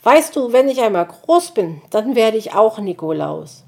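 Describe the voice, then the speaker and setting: A woman voicing an excited little boy hedgehog who chatters eagerly. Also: a little high, normal speed, pitched above the performer's own voice, close to an everyday voice.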